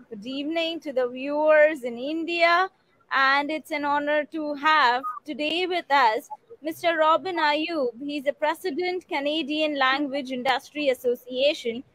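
Host: woman with a high voice, formal and polite introductions. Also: a bit bright. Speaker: interview host.

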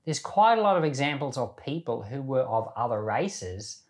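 A man's voice: medium pitch, big pitch swings, normal speed. A man teaching the Bible informally, speaking conversationally and putting questions to his listeners as he explains. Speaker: Bible teacher and podcast host.

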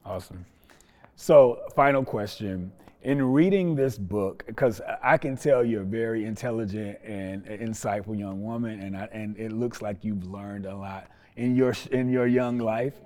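A man with a soft-edged, mellow voice hosts a podcast interview. His delivery is conversational and measured, appreciative toward his guest, with hesitant pauses as he frames things. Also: on the low side.

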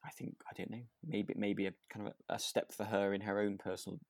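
A man's voice: on the low side, flat delivery, quick pace, creaky, a little mellow, no room sound, clean studio sound. A man co-hosting a casual podcast, speaking hesitantly and repeating words as he thinks. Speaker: podcast co-host. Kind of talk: casual chat about a radio drama.

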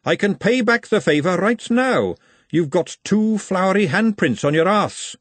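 A man's voice: fairly high pitch, very animated, brisk, no echo, voice pushed up. A man voicing a dry, self-possessed woman cook with a level, pointed manner, in a fantasy audiobook. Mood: tart.

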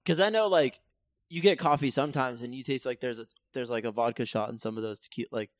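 A man who talks very quickly in a mid-pitched voice, recorded dry. He chats casually as a podcast host, chipping in with slangy, joking asides. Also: no room sound. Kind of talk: casual chat.

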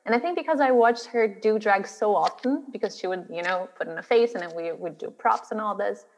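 Brazilian-born woman chatting in an interview, mid pitch, lots of reverb around her. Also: brisk, lo-fi sound. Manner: chatty, laughs while telling stories. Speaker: guest, drag artist. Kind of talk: interview chat.

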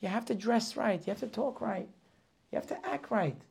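A man's voice: sits high, normal speed, gravelly, a little mellow. A man teaching a weekly Torah class, preaching with passion, firing rhetorical questions and sometimes dropping to a near whisper.

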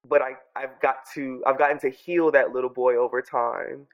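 A congested man journaling aloud at home into his microphone, speaking softly and earnestly, circling back and restarting his sentences as he reflects. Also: medium pitch, fairly even intonation, moderate pace, highs cut off. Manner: soft, earnest, musing with many restarts. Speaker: podcast host journaling aloud. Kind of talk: reflective personal audio journal. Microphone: own studio microphone.

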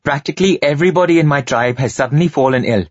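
Guy reading as rugged male tribe chief, calm but commanding, husky, storybook hero. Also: a little high, fast talker, dry recording, muffled recording, pitched above the performer's own voice, lightly acted. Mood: concerned.

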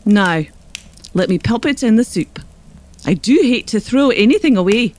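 An actress with an Irish accent playing a shrewd, hospitable northern wise woman in a children's audio drama, speaking with deliberate gravity. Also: fairly high pitch, animated, a little mellow, natural voice. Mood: welcoming.

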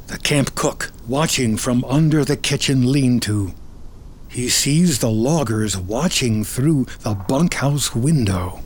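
Slow-paced man narrating a dramatic film script. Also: mid-range voice, slight background noise. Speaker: screenplay narrator. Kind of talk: scripted film narration, reading stage directions in present tense.

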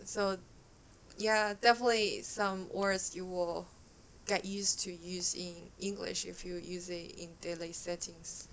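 A woman's voice: on the low side, fairly even intonation, measured pace, a bit bright. A young adult woman from China with a Chinese accent and Chinese as her first language, chatting as a podcast guest in a hesitant way, with many fillers. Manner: hesitant, frequent fillers, laughs easily. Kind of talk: casual chat.

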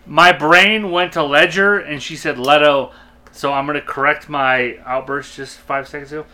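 A man's voice: fairly high pitch, steady tone, moderate pace, sharp tone. A man from California co-hosting a podcast in casual, joking, profane group banter. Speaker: podcast co-host.

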